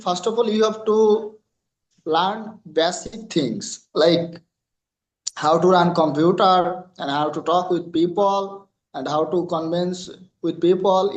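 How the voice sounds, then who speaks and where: Slow-talking Bangladeshi man, echoey room. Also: sits high. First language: Bengali. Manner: halting non-native English with many fillers. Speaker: freelancer guest. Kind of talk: interview answers.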